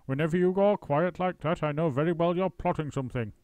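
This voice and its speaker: A man voicing a spiteful, nasty old woman with a high, screechy, cackling voice. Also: fairly quick, a little mellow, no room sound, natural voice. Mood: menacing cackle.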